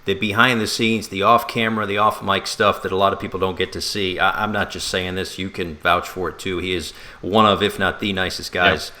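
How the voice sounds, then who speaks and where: A man co-hosting a sports talk show, speaking casually in a conversational, halting way with restarts. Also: deep voice, steady tone, bright, forceful tone.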